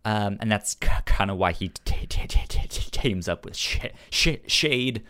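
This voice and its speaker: A man with a stutter, explaining things in a halting way in a casual book discussion as a podcast co-host. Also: lowish voice, animated, bright, forceful tone, clear, resonant tone. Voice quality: stutter, repeated sounds and syllables.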